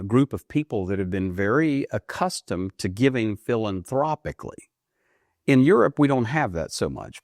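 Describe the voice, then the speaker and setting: A man in his fifties from the United States talks earnestly as an interview guest. His voice sits low, and he often rises in pitch to stress a point. The recording is dry and close, with no room sound. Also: darkish tone, dry recording. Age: 50s. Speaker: guest, ministry leader. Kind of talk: interview answers. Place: studio.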